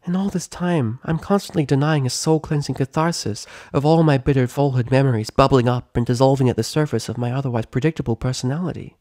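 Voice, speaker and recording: A man's voice: medium pitch, fast talker, few pauses, a little mellow, no room sound, clean studio sound, pitched above the performer's own voice, close to an everyday voice. A man voicing a bubbly, talkative young female cartoon pony in a story reading, with gushing run-on speech. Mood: solemn, anguished. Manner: gushing, rambling, endless run-on chatter. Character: hyperactive, chatty, bubbly young female pony party girl. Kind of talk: animated fan fiction character reading.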